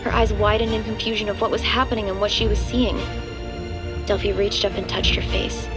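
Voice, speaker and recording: Casting: female narrator, medium pitch, scripted horror-drama storytelling, prominent music bed. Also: gravelly. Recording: music playing underneath.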